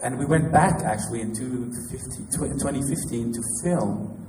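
A pianist and composer from Quebec with a Québécois French accent, introducing his show in a halting, casual way with restarts. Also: medium pitch, darkish tone. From Quebec, Canada. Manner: halting, with restarts and light laughs. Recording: echoey hall from far off, noisy.

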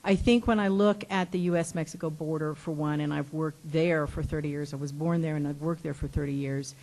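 A woman's voice: deep voice, fairly even intonation, brisk, dark tone, low-quality recording. A middle-aged woman from El Paso, Texas, answering questions on a panel in an impassioned, rambling way, with restarts and laughs as she talks.

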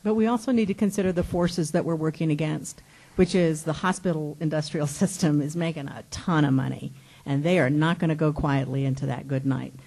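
Low-voiced impassioned woman panelist. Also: brisk, mellow. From El Paso, Texas. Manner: impassioned, rambling, restarts, laughs as she talks. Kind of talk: panel discussion answer.

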